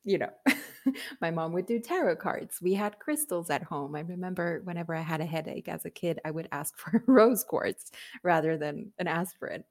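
A woman's voice: on the low side, expressive, normal speed, a little mellow. A woman guest on a podcast, talking in a casual, earnest way, laughing often and using many fillers. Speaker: podcast guest, business coach. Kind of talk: casual conversational interview.

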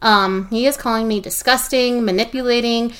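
A young woman co-hosting a podcast, agreeing warmly with her co-host and reading forum posts aloud with small stumbles. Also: on the high side, fairly even intonation, normal speed.

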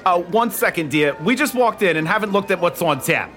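An actor playing a genial elderly statesman who speaks in a lively, genteel way. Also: high voice, a little fast, music in the background. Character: genial old statesman hosting friends at a tavern.

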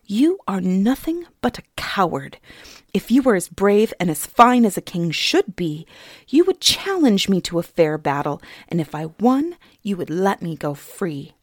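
A woman's voice: mid-range voice, sing-song, measured pace, a little mellow, dry recording, clean studio sound. A woman voicing a hungry, defiant young heroine who speaks firmly. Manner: defiant, firm.

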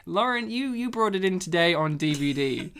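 A man's voice: high-pitched, animated, normal speed, vocal fry, fairly bright tone, slight background noise. A man hosting a casual movie podcast, talking in a jokey, rambling way and laughing often. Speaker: podcast host.

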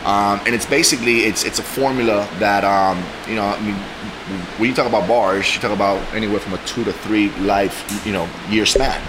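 A man's voice: fairly low pitch, fairly even intonation, a little fast, background noise. A man from Cuba speaking casually as a podcast guest, emphatic and often repeating his words for stress. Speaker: guest, bar general manager.

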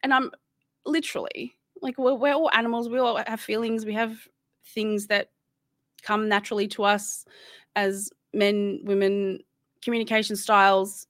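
A woman's voice: medium pitch, little pitch movement, normal speed, a bit bright, no echo. Rambling Australian woman, dry close sound. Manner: candid and rambling, with many restarts. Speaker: guest. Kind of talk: casual interview chat.

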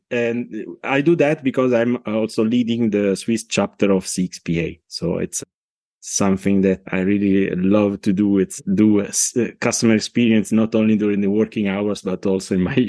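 A middle-aged man with an Italian accent, a consultant speaking as a podcast guest, talking in a halting way with many restarts. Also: lowish voice, fairly even intonation, mellow, dry recording. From Italy. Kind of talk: casual interview talk.

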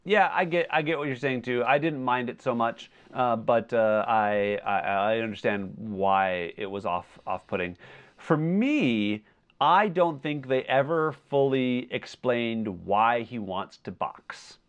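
A man co-hosting a podcast in a casual film discussion, talking in a halting, wordy way as he thinks aloud. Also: mid-range voice, lively intonation, clear, resonant tone, lo-fi sound. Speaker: podcast co-host.

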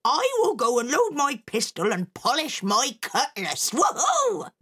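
A bold old pirate mother, put on by a woman who reads a children's story. She shouts and whoops in a high, lively voice, full of swagger. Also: measured pace, full character voice. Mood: gleeful.

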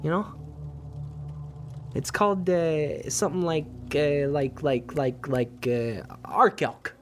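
A man playing a troubled, stubborn police detective in an audio drama, halting and repeating himself between sighs. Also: fairly high pitch, soft background music. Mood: uneasy, weary.

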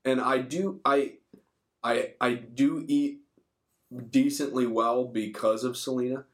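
A man's voice: mid-range voice, steady tone, takes it slowly. A thirty-year-old man chatting casually as a podcast co-host, informal and freely swearing. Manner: informal, profane. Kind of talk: casual chat.

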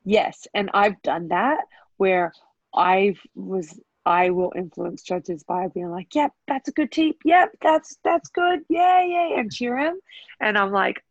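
An American woman with a big, carrying voice talks about coaching fighters in a relaxed, chatty way, and her voice jumps up when she mimics cheering from the corner. The sound is dry and a little dull. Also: medium pitch, takes it slowly, no room sound. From United States. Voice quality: very loud, carrying voice. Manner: chatty, animated, bursts into loud cheering. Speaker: Muay Thai coach. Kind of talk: casual interview answers.

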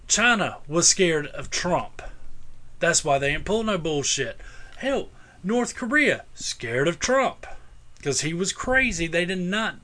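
A man's voice: sits high, sing-song, measured pace, sharp tone. A man hosting a casual podcast, talking in a crude, opinionated way and swearing freely. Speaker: podcast host.

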